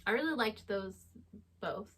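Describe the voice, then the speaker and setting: Female co-host, medium pitch, conversational and unscripted book talk. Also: lively intonation, a little slow, breathy edge. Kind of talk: casual unscripted book chat.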